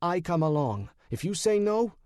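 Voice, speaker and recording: Man voicing a loud, exasperated cop, raised pitch. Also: sing-song, moderate pace, no echo, studio quality. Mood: insistent, exasperated. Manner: loud, incredulous, wisecracking. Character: exasperated, sarcastic, hot-tempered cop friend, male. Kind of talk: audiobook character voice.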